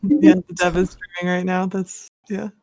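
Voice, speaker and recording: Lower-pitched American woman, musing casually. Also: lively intonation, normal speed, dark tone. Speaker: podcast co-host. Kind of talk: casual video game discussion.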